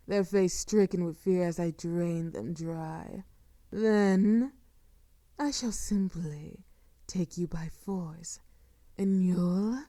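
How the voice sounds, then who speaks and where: A woman playing a seductive, sadistic vampire lord in an intimate audio roleplay, with soft murmurs and a theatrical, condescending, drawn-out delivery. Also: lowish voice, no room sound, clean studio sound. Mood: menacing, coaxing.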